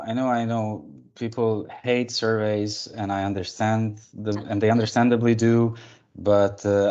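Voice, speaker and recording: Low-voiced Hungarian man, dull call audio. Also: darkish tone. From Budapest, Hungary. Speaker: guest presenter of a lightning talk. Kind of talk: informal tech presentation. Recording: dull, band-limited online call audio.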